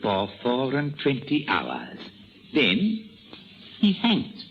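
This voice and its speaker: A man's voice: mid-range voice, huge pitch swings, very slow, full character voice. An actor playing a scheming, imperious king of France with a sardonic, taunting manner in a 1930s radio drama. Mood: sly, taunting. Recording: muffled, noisy 1930s radio broadcast.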